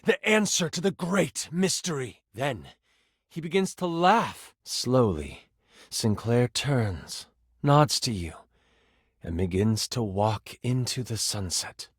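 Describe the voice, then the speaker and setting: An actor playing an excitable, theatrical nerdy game master in a video game, moving between grand narration and casual asides. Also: male voice, medium pitch, takes it slowly, breathy edge, no room sound, studio quality, full character voice. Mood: hushed, dramatic. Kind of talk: video game character voice lines, dramatic tabletop narration.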